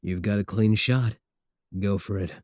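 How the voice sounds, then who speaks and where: A man's voice: lowish voice, unhurried, a little mellow, no echo, lightly acted. A man playing a cocky, obsessive, easily offended werewolf in love, boasting earnestly and throwing dry sarcastic jabs in a comedic ASMR roleplay. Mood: dry bickering.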